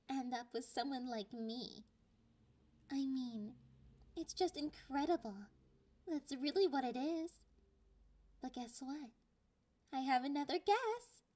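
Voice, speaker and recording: A possessive young catgirl girlfriend, acted by a woman, purrs in a high, girlish voice. She takes her time over every taunt, sliding between intimate speech and breathy whispers in an ASMR roleplay style. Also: darkish tone. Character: clingy, possessive, teasing young catgirl girlfriend, slightly sadistic.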